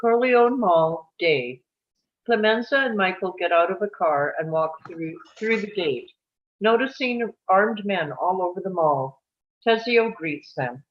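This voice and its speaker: A woman's voice: mid-range voice, expressive, unhurried. A woman reading stage directions as scripted narration for a live table read, on dull audio.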